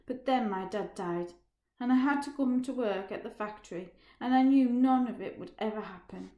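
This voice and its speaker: A woman voicing an angry, bitter young man who works in a factory, shouting and threatening. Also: a little high, moderate pace, muffled recording, lightly acted. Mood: furious. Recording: roomy echo.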